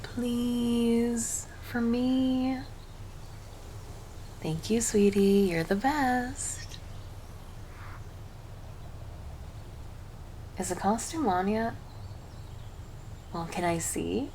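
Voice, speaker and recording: A woman's voice: fairly high pitch, drawn-out words, long pauses, mellow, slight background noise. A woman playing a cheerful, affectionate succubus girlfriend in an ASMR roleplay, doting and teasing as she speaks intimately to her partner. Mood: excited, playful. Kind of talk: ASMR roleplay, scripted monologue to the listener.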